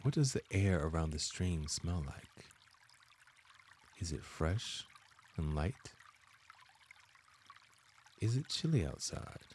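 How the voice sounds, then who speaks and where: A man narrating a scripted guided meditation as host, in measured, deliberate phrases with frequent pauses. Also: low voice, big pitch swings, a little mellow, a little hiss or hum.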